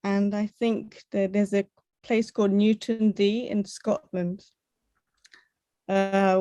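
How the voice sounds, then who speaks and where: A woman's voice: medium pitch, warm tone, no room sound. Woman with a close, dry voice. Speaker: design researcher, panel guest. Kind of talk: unscripted panel answer.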